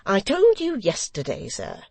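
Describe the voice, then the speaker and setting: A woman's voice: medium pitch, huge pitch swings, a little slow, creaky, a little mellow, dry recording, pitched above the performer's own voice, full character voice. A woman voicing a testy, flustered male Lord Mayor who dithers and fusses. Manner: fussy, dithering.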